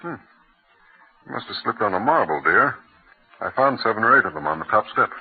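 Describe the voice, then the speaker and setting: A man's voice: fairly low pitch, lively intonation, a little fast, husky, heavily acted. A man playing an earnest, doting husband and father in an old-time radio drama, tender and emphatic. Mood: reassuring. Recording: muffled, narrow-band old radio recording.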